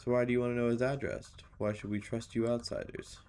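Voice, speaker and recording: A man's voice: mid-range voice, little pitch movement, quick pace, a little mellow, a little hiss or hum, natural voice. A man reading a visual novel's part of a suspicious small-town pub local, guarded and needling with strangers in a folksy way. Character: suspicious, grudging local pub drinker, gossipy townsman.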